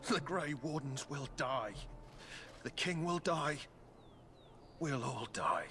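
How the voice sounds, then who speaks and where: A man playing a wounded, terrified madman in a fantasy game, raving haltingly and ominously about doom. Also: on the high side, pushed and bright, faint music behind. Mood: panicked dread.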